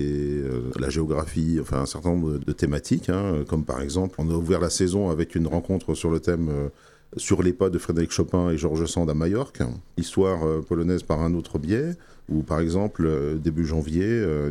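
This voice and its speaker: Very deep, mellow man, hesitant. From France. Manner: hesitant, many pauses and fillers. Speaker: association president. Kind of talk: radio interview guest.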